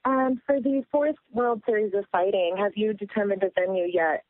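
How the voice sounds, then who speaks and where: A woman's voice: mid-range voice, fairly even intonation, normal speed, dry recording. A female reporter asking questions on a press call over a phone, polite and somewhat formal. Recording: dry, narrow phone line.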